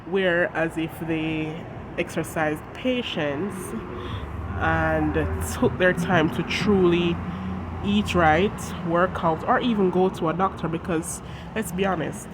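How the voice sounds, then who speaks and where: Stuffy-nosed preacher type, earnest encouraging devotional, traffic noise behind, mid pitch. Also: fairly even intonation, takes it slowly. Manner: earnest, direct, addresses viewers as guys. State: congested from a cold. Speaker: host of a devotional channel. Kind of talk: encouraging devotional talk with scripture readings. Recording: noisy outdoor traffic background.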